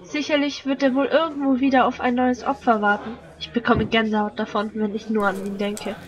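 Woman playing a higher-voiced confident socialite. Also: level tone, brisk, highs cut off, natural voice. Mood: uneasy. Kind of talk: German amateur audio drama dialogue.

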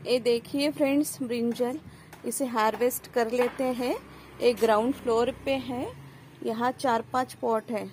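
Woman gardening vlogger, higher voice, unhurried show-and-tell talk to viewers, recorded outdoors on a phone. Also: steady tone, a little mellow, a little hiss or hum. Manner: addresses viewers as friends, points things out. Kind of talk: casual show-and-tell walkthrough. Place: rooftop terrace garden, outdoors. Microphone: phone camera held while filming.

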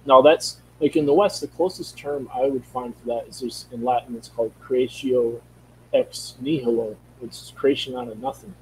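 Middle aged minnesota guy on a call, mellow low voice, keeps restarting, bit of hum. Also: fairly even intonation, moderate pace, low-quality recording. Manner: rambling, searching for words, many restarts. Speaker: guest. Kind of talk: casual conversation. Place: home room. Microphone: studio mic on video call.

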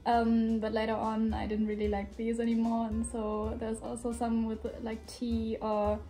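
A young German woman, eighteen, speaking accented English in a casual, halting way with lots of "um". Her voice is slightly high yet quite even in pitch, with a warm, dark tone. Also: faint music behind. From North Rhine-Westphalia, Germany. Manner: hesitant, many "um" and "uh". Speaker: guest volunteer. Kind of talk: casual chat on camera. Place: indoor room with shelves.

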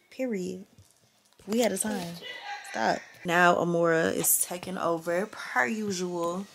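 A woman's voice: mid-range voice, gravelly, a little hiss or hum. A young woman with an Atlanta accent, chatting casually and playfully to her vlog camera and her daughter at home. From born in New Orleans, raised in Atlanta. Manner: playful, laughing, talks to viewers and her daughter. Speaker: vlogger mom. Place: bedroom at home. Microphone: handheld camera close up.

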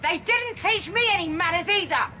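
An actress in her thirties with a London accent, playing a blunt, protective, quick-tempered woman caring for a girl. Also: extremely high pitch, noisy background. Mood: angry. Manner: blunt, sharp-tongued, coaxing when gentle. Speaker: stepmother figure. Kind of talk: soap opera acting. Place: home living room and street.

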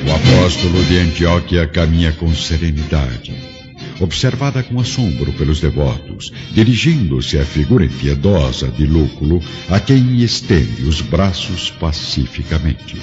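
A man reading scripted dramatic narration as a narrator, solemn and measured. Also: rumbling low, animated. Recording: dull audio with music behind.

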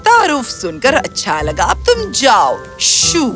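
Curt old witch, animated voice. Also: a little high. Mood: suspicious, dismissive. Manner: brusque, grudging. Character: curt, dismissive old witch aunt in an igloo. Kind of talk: cartoon fairy tale dubbing. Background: music.

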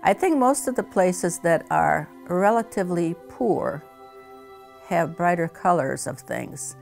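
A woman, a retired teacher and weaver, speaking thoughtfully with many pauses and fillers in a seated interview at home. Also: fairly low pitch, expressive, vocal fry, darkish tone, soft background music. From Croatia. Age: 70s. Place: home living room, indoors.

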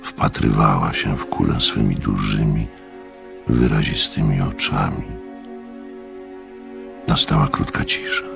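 A composed storyteller in a radio play. His voice is exceptionally deep with a gravelly creak. He narrates slowly and soberly, with faint music around the words. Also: fairly even intonation, heavily acted. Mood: sober, measured. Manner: measured, sober. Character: composed gentleman narrator recalling a strange experiment.

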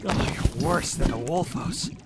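A man acting a beleaguered young adventurer trapped in a dungeon in a fantasy audio drama, talking to himself in halting asides. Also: on the high side, animated, over loud music. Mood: frustrated, anxious. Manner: talks to himself in halting, breathy asides with sighs. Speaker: voice actor.

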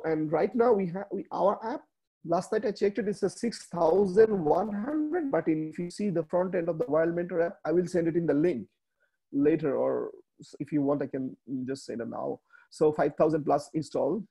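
A man in his thirties from Bangladesh, a native Bengali speaker with a Bangladeshi accent, giving a slide presentation on a video call, halting with many fillers and restarts. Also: fairly high pitch, lively intonation, gravelly, dark tone, husky. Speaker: webinar speaker. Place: small room indoors.

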